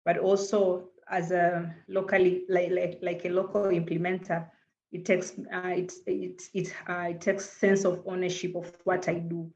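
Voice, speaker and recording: A woman from Tanzania with a Tanzanian accent speaks over a dull, lo-fi call line. Her voice sits low and stays quite flat in pitch. She talks earnestly as a panelist and restarts often. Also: moderate pace. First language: Swahili. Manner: earnest, hesitant with frequent repeated words and fillers. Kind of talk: panel discussion answer.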